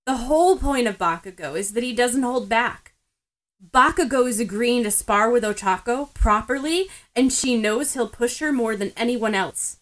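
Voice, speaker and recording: A woman's voice: fairly high pitch, normal speed, fairly bright tone. A woman reading scripted story narration as a podfic narrator.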